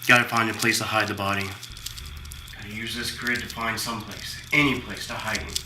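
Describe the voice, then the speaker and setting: A man's voice: fairly low pitch, little pitch movement, fairly quick, background noise, lightly acted. A man acting a scheming, bitter heir in a radio drama, brooding and muttering to himself. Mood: urgent. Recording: music behind the voice.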